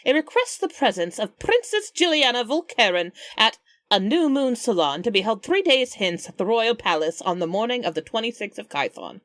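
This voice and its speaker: A woman's voice: on the high side, sing-song, moderate pace, a bit bright, dry recording, clean studio sound. A woman playing in a tabletop roleplaying podcast, switching between casual chat, character dialogue and read-aloud narration.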